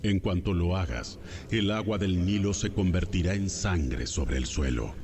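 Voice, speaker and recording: A man's voice: deep voice, unhurried, darkish tone, faint music behind. A man playing God as a stern, authoritative deity in a solemn, commanding dramatized Bible audio. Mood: solemn, assuring.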